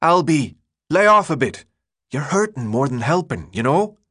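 A man's voice: mid-range voice, expressive, unhurried, fairly bright tone, breathy edge, pitched above the performer's own voice. A man voicing a friendly, cheeky teenage boy with a thick, odd accent and breezy slang in an audiobook. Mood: calming.

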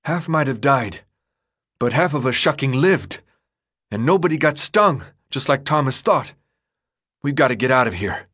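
A man's voice: mid-range voice, animated, moderate pace, pauses often, fairly bright tone, pitched above the performer's own voice. A man voicing a tough, blunt teenage boy leader in an audiobook reading. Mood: defiant.

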